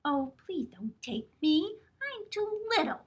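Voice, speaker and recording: A woman's voice: high voice, sing-song, slow, voice pushed up, full character voice. A woman voicing the tiniest billy goat in a storybook, speaking in a very small, pleading voice.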